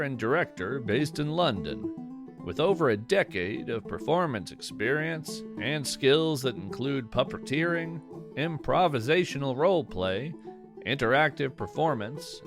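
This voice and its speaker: A man reading scripted podcast credits as host, in a measured and articulate way. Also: fairly high pitch.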